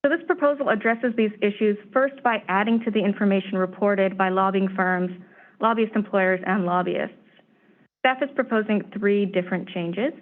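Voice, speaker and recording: Woman, slightly hoarse, steady formal briefing, mid pitch, tinny phone audio. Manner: steady, measured, reads prepared remarks. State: slightly hoarse, clearing throat. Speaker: staff presenter at a commission meeting. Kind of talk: formal briefing on proposed legislation.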